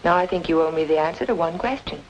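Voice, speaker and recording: An actress playing a determined, sharp-witted woman in a noir radio mystery, with crisp, insistent delivery. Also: fairly low pitch, expressive, fast talker, lo-fi sound, slight background noise, natural voice. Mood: insistent, knowing. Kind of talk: old-time radio noir acting and narration. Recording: old radio drama with music behind.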